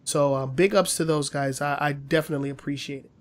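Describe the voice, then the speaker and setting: A man's voice: on the high side, fairly even intonation, fast talker. A man co-hosting a casual gaming news podcast, chatty with many fillers and slightly nasal from a cold. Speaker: podcast co-host.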